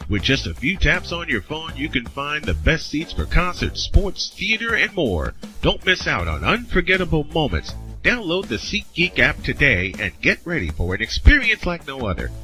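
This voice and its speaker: A man's voice: on the high side, wildly swooping pitch, moderate pace, few pauses, pushed and bright. A man, the host, delivering a scripted sponsor read. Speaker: host reading a sponsor spot. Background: music bed.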